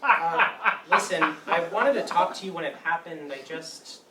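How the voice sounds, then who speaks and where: A man's voice: on the high side, animated, normal speed, close to an everyday voice. An actor playing a nervous, imaginative, apologetic young man in a staged play reading. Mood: subdued, sincere. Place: meeting room staged reading, distant camera. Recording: echoey room from far off, noisy.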